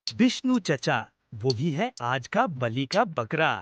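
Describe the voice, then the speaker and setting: A man voicing the moneylender's fawning servant in a cartoon story, who flatters his master and sneers at others. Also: medium pitch, takes it slowly, slight background noise. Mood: sneering.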